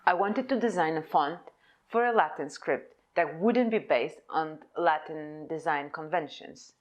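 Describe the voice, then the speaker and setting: A young woman speaks English, her voice sitting a little low. She delivers a prepared conference presentation about her own type design, measured and deliberate, as if reading from notes. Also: lively intonation, muffled recording, a little hiss or hum. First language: Polish.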